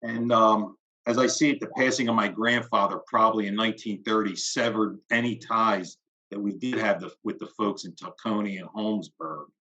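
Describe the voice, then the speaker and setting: Philly guy, low voice but bright and forceful, measured, trails off at the ends, kinda dull lo-fi audio. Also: steady tone. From Philadelphia, USA. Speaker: guest. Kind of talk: reflective interview talk.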